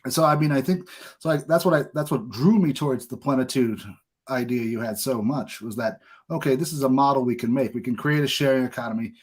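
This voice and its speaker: A man's voice: medium pitch, fairly quick. A man hosting a podcast interview, chatting casually in a talkative, opinionated way. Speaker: podcast host.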